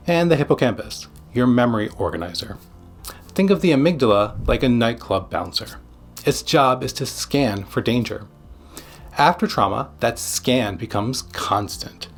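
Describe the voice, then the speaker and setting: A man with a medium-pitched voice that has some husky grain delivers a scripted educational explainer. He speaks like a clinician teaching viewers, in measured, short phrases. Key terms are set apart with deliberate emphasis. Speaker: psychiatrist presenting an explainer video.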